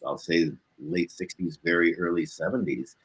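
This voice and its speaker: A man's voice: sits low, steady tone, moderate pace, fairly bright tone, slightly rough. A man, chatting informally as an interview guest and rambling through his stories. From Canada. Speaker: guest, blues musician. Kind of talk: casual interview chat.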